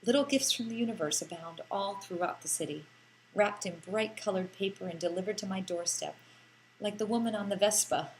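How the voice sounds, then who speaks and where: A woman's voice: medium pitch, a little fast, slight background noise, low-quality recording. A woman narrating a first-person story like an audiobook, with a theatrical, lilting delivery and lingering phrases.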